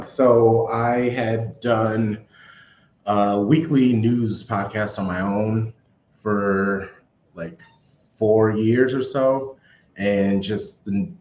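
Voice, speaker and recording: A man's voice: fairly low pitch, darkish tone. A man guesting on a podcast, telling his story casually, with a dull, echoey and noisy recording. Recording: echoey room, dull sound, audible noise.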